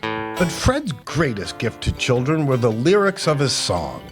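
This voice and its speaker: A man reads a picture book to children with a swooping, animated delivery. He takes his time and stretches key words for emphasis, while guitar music plays clearly behind him. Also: mid-range voice, unhurried. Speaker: children's storytime host.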